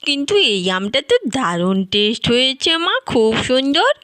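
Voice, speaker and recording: A woman's voice: high voice, very animated, moderate pace, fairly bright tone, smooth, no room sound. A woman voicing an eager, whiny little daughter doll who pleads and complains in a children's doll story. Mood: whiny, pleading.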